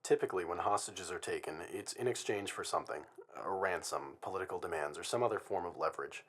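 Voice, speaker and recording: Hostage negotiator, very low voice, brisk pace, formal, serious, radio drama interview. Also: male voice, a bit bright, slightly rough. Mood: measured, concerned. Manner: formal, analytical. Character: measured male crisis negotiator, guarded and serious. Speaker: guest expert, hostage negotiator.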